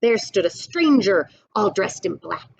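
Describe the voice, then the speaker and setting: Expressive, slow-paced middle-aged woman reading aloud. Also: medium pitch, vocal fry, husky, muffled recording. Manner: expressive, deliberate. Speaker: teacher. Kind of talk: storybook read-aloud with explanatory asides. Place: indoors, classroom-like room.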